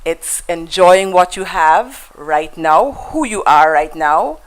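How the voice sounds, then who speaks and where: A woman's voice: lowish voice, animated, takes it slowly, rough recording. A woman with a Guyanese accent, a guest in a conversational interview, telling stories in a reflective way with frequent fillers and restarts.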